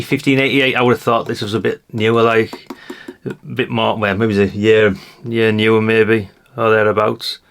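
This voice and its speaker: A man's voice: on the low side, a bit bright. A British man giving a casual hands-on show-and-tell as a vlogger, musing aloud in a rambling way with chatty asides to the viewers. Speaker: vlogger showing a haul of vintage CB radios.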